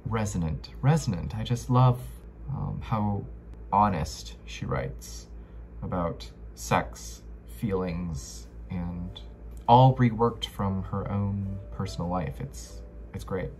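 A man's voice: sits low, takes it slowly, darkish tone, faint music behind. A man in his twenties giving a casual, playful book review to camera in slangy, chatty language from his bedroom. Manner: playful, slangy, with frequent "like" and trailing emphasis. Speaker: book vlogger. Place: small bedroom, indoors.

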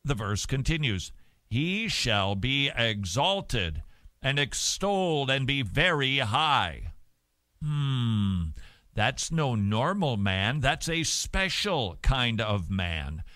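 A middle-aged man hosting a talk show from a small studio, speaking with emphatic, joking energy into a boom-arm studio mic. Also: big pitch swings, slow, pushed and bright, dry recording. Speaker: radio and TV show host. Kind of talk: talk show commentary with Bible reading. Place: small dim studio set.

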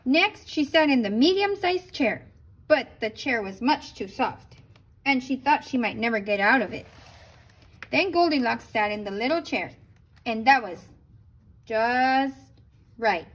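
A woman with a very high voice reads a picture book aloud for children. She tells it expressively and drops in short asides. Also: unhurried, long pauses, low-quality recording. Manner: animated storytelling with brief asides. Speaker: storybook reader.

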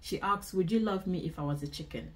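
A woman in her twenties with a Nigerian accent, chatting casually to camera in an indoor vlog, expressive and repeating phrases for emphasis. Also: lowish voice, a little fast. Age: 20s. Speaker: vlogger. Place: small indoor room.

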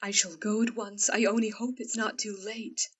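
A woman playing a calm, loving mother of four who speaks gently and steadily. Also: mid-range voice, normal speed, husky, far from the mic, natural voice.

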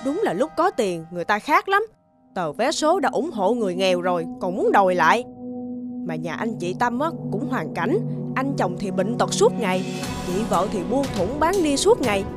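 An actress playing a nosy, judgmental village gossip in an animated tale, exclamatory and gossipy. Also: female voice, mid-range voice, moderate pace, lightly acted. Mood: disapproving. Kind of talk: animated moral-tale dubbing. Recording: music behind the voice.

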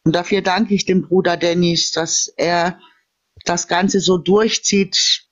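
A high-pitched man argues his faith in a casual, heated debate, pushing his point and ending sentences with a questioning "ne?". The audio is dull and lo-fi, coming through a phone on a live video call. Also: little pitch movement, slow. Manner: insistent, preachy, tags sentences with "ne?".

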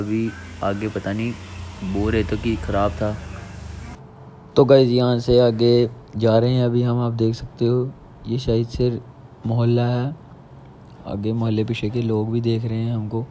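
Flat-voiced young man vlogging outdoors. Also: medium pitch, unhurried, long pauses. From Jammu, India. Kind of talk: casual travel vlog commentary. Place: outdoors, on motorbike and hillside trail. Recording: noisy outdoor motorbike and trail recording.

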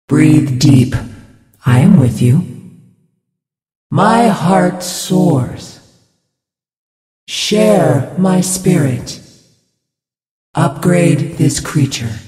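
A woman playing a serene, devout female spirit healer in a video game, speaking in a measured, ceremonious, pious way. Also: on the low side, warm tone, far from the mic. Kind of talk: video game character voice lines. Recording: reverberant hall effect, music behind.

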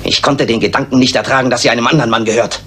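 An actor playing a vengeful, theatrical murderer in a German radio crime drama, measured, formal and menacing. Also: male voice, mid-range voice, steady tone, a bit bright, natural voice. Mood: cold menace. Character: vengeful, theatrical male murderer, coldly courteous. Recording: older radio play with background noise.

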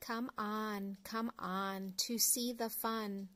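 A middle-aged woman reading an early reader picture book aloud to young children, phrase by phrase in a deliberate way. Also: medium pitch, fairly even intonation, bright, forceful tone. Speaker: storyteller, children's read-along host.

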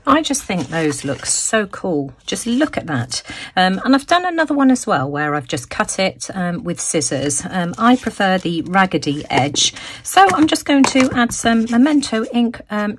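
A woman hosting a crafting video, explaining each step with plenty of ums, her voice a little croaky. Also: on the low side, a little hiss or hum. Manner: frequent ums, friendly explaining. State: slightly croaky voice. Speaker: crafting channel host. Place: craft table, indoors.

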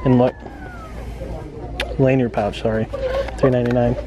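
A man's voice: medium pitch, little pitch movement, normal speed, muffled recording, a crowd in the background. Male shopping vlogger, casual and unscripted, calling out prices as he browses in a retail store. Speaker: shopping vlog host. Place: inside a busy retail store. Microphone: handheld camera, off screen.

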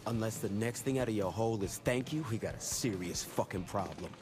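An actor playing a tough, blunt, cocky, foul-mouthed street gang boss. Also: male voice, fairly low pitch, brisk, music in the background, close to an everyday voice. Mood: fed up.

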